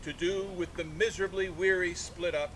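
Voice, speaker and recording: A man's voice: steady tone, normal speed, a bit bright. A man giving a live literary reading outdoors, declaiming in short, broken phrases. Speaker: artist reading aloud and taking questions. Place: outdoors in a sculpture park. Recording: noisy outdoor recording with vehicle rumble.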